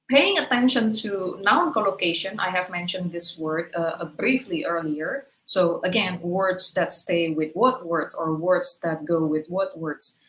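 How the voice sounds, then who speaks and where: A woman's voice: fairly low pitch, fairly bright tone. Woman lecturing in an echoey room. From Indonesia. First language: Indonesian. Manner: explanatory, checks in with "right?". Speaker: webinar speaker. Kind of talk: online lecture to teachers.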